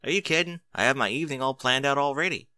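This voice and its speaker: A man's voice: mid-range voice, fast, bright, forceful tone, dry recording, voice pushed up, close to an everyday voice. A man voicing a young male dragon sidekick in an audiobook story, casual and sarcastic. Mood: breezy, teasing.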